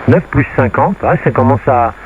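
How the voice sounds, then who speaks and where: A man's voice: mid-range voice, moderate pace. A man chatting casually as an amateur radio operator over a two-way radio transmission. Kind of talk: casual radio contact chat. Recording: narrow, noisy radio link.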